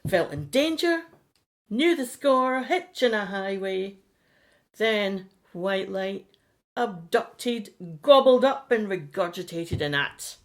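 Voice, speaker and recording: A woman's voice: medium pitch, lively intonation, slow pace. A woman acting a time traveller who recounts in clipped, telegraphic phrases for a radio play. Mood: terse recollection.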